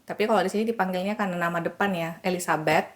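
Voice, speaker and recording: A woman's voice: fairly low pitch, racing. An Indonesian woman co-hosting a podcast, introducing herself in casual chat. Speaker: podcast co-host.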